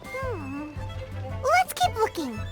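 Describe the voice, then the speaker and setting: An extremely high, squeaky little fairy girl from an anime game. She is excitable and childlike, exclaiming with wildly swooping intonation and drawing her words out slowly. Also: faint music behind, full character voice. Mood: curious. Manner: exclaims, refers to herself in third person. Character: tiny excitable childlike female fairy companion. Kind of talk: English anime game dub.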